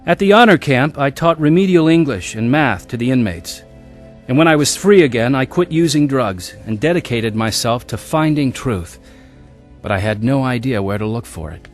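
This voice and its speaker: A man's voice: medium pitch, animated, moderate pace, faint music behind, natural voice. An actor playing a restless, searching adventurer who tells his own life story earnestly in a radio drama. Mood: reflective, earnest. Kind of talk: radio drama acting and first-person narration.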